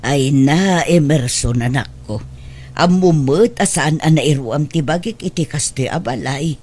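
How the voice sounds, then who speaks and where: A woman playing a humble, hardworking elderly mother in an Ilocano radio drama, speaking in a gentle, plaintive, homely way. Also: sits low, measured pace, husky.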